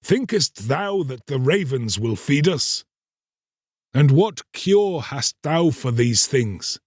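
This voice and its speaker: A man's voice: mid-range voice, sing-song, slow, no echo, studio quality, pitched above the performer's own voice. A man voicing a bitter, reproachful poor man in a storybook tale, challenging his listener in archaic, rhetorical speech.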